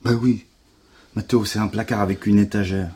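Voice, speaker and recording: A man's voice: lowish voice, fairly even intonation, normal speed, dark tone, breathy edge. A man playing a wry, talkative, reluctant investigator in a naturalistic audio drama, mocking and grumbling. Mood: impatient, sardonic. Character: sarcastic, hungry, reluctant male investigator, grumbling sidekick. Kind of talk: naturalistic French audio fiction acting.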